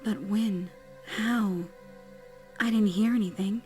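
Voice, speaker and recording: An actress plays a young woman survivor thinking to herself in a horror audio drama. She is blunt, sweary and sardonic, scolding herself to toughen up. Music plays faintly behind her mid-pitched voice. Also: fairly even intonation, slow, warm tone, close to an everyday voice. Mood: alarmed. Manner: blunt, profane, self-scolding. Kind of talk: dramatized audiobook character thoughts.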